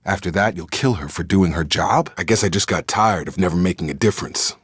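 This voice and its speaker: An actor playing a steady, dutiful space operative in a video game, with a clipped, matter-of-fact military delivery. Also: male voice, low-pitched, fairly quick, husky, dry recording, studio quality, heavily acted. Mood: steady, serious. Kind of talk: scripted video game dialogue.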